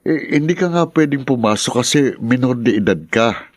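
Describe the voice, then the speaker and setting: An actor playing a concerned, dutiful uncle with a Filipino accent in a Tagalog radio drama. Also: male voice, medium pitch, expressive, normal speed, dry recording, clean studio sound, close to an everyday voice. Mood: exasperated. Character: concerned, dutiful uncle, younger brother of jailed man.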